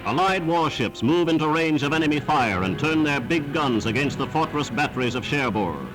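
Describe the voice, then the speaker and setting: A man's voice: on the high side, animated, normal speed, rough recording. A man narrating a scripted 1940s wartime newsreel in a formal, emphatic, declamatory manner, on a vintage soundtrack. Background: orchestral newsreel music.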